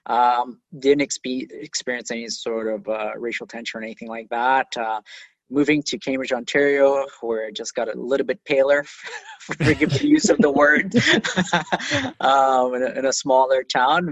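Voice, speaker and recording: Man with a softened Trinidadian accent. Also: mid-range voice, no echo, dull audio. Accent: Trinidadian, softened toward Canadian English. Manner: hesitant, many ums and uhs. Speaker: guest. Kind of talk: conversational interview answers.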